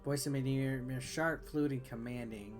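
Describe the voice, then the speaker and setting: A man's voice: mid-range voice, steady tone, a little slow. A man reading visual novel text aloud as a game player, with offhand, wry asides. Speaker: video game reviewer and let's player. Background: game music.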